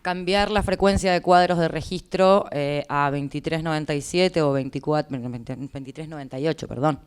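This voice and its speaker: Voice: mid-range voice, normal speed, smooth. An interpreter with an Argentine Spanish accent, explaining to listeners in consecutive turns at a conference.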